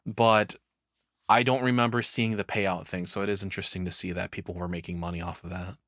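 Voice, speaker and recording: A man's voice: sits low, steady tone, brisk, a bit bright, dry recording, clean studio sound. A man giving casual, rambling reaction commentary in a conversational way, with some swearing. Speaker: reaction streamer.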